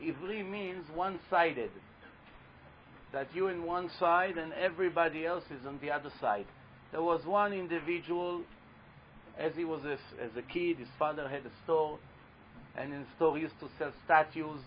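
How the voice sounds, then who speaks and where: A man with a loud voice giving a religious talk to a live audience, telling a story and putting questions to the listeners. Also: fairly high pitch, moderate pace, a little hiss or hum. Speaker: religious teacher speaking to an audience. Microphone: handheld or stand microphone. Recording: dull lo-fi live recording.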